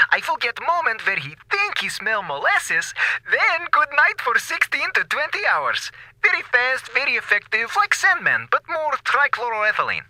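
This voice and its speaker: A man's voice: very high voice, sharp tone, no room sound, exaggerated voice, low-quality recording. A man playing a cheerfully sinister mad scientist with a Russian accent and clipped, clinical phrasing in a comic radio drama.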